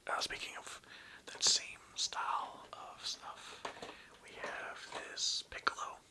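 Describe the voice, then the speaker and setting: Hushed, breathy ASMR whisperer. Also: slow. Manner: rambling, casual, hesitant. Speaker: video host presenting a toy collection.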